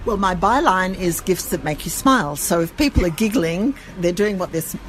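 A woman's voice: lowish voice, expressive, moderate pace. Chatty woman shop owner. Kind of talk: casual interview. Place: her gift shop.

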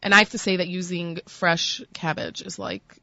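A woman's voice: fairly low pitch, fairly even intonation, normal speed, sharp tone, no room sound, lo-fi sound. A middle-aged woman chatting informally and agreeably as an interview guest. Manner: agreeable, informal, repeats affirmations. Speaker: food magazine guest being interviewed. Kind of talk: casual chat. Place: studio.

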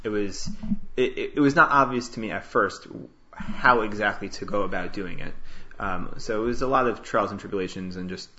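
A man from Queens, New York, answering interview questions as a startup founder, casually and with halting, self-correcting explanations. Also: lowish voice, pushed and bright, muffled recording. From Queens, New York, United States.

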